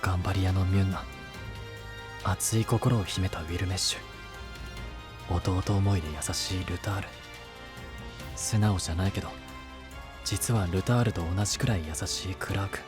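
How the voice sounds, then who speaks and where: An actor playing an earnest, devoted young knight in a Japanese voice drama, speaking in a deliberate, halting way. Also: male voice, deep voice, close to an everyday voice. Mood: solemn resolve. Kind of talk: Japanese voice drama acting, monologue. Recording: music behind the voice.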